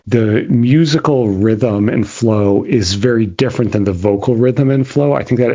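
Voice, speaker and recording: Husky-voiced curious man, lo-fi. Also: mid-range voice, normal speed, dark tone. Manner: curious, encouraging, asks questions. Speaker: podcast co-host. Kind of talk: casual chat. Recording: slightly dull lo-fi sound.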